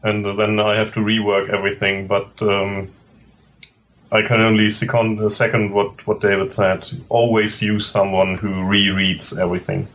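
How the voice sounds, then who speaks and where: A man with a fairly low voice, recorded with some ambient noise. He talks casually as a panelist, hesitating often as he finds his words. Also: flat delivery, a bit bright. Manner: hesitant, halting. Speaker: webcomic creator, panelist.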